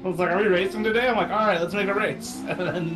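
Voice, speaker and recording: A man's voice: sits high, steady tone, fast, music in the background. A man chatting casually with friends after a sim race, joking and laughing. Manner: easygoing, laughs between remarks. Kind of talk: casual chat over voice chat.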